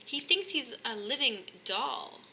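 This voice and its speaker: A woman's voice: fairly high pitch, lively intonation, slow pace, pushed and bright, a little hiss or hum, full character voice. A woman voicing an outspoken, forgetful young bear girl in a children's storybook. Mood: opinionated.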